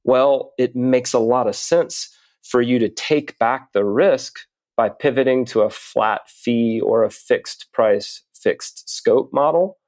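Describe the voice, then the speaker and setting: A man speaking as an interview guest, explaining in a measured, articulate way with deliberate pauses. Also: medium pitch, darkish tone, no room sound. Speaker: podcast guest, writer and coach.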